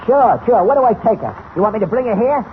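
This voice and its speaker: Tinny high-voiced gangster henchman. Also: expressive, quick pace. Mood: wheedling, cocky. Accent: American. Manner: slangy, gruff tough-guy talk. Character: tough male gangster henchman, slangy and cocky. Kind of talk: 1940s radio serial acting. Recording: scratchy, tinny old radio recording.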